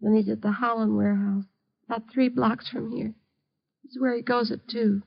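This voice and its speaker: A high, crackly-voiced middle-aged mother, bedridden and afraid. She pleads and frets in haunted radio-drama style, on a dull vintage recording. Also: level tone, moderate pace, vocal fry, slightly rough. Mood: subdued. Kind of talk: old-time radio drama acting.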